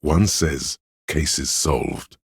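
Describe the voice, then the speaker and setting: A man voicing an inner encyclopedia for a video game, a grave, detached, erudite narrator who states facts in a measured, deliberate way. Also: a bass voice, vocal fry, slightly rough, no room sound, clean studio sound, full character voice. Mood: dry, informative.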